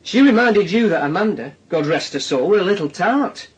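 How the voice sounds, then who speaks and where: An actor playing a sardonic police detective in a radio drama, with a Northern English accent, pressing with insistent questions. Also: male voice, high voice, expressive, moderate pace, slightly rough. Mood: needling. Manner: insistent questioning with tag questions and dry sarcasm. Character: needling, sardonic male police detective grilling a suspect. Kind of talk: naturalistic radio drama dialogue.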